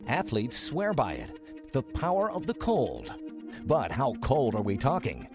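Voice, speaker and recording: A man with an American accent reading a dramatic scripted voice-over for TV news promos. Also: mid-range voice. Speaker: station promo announcer.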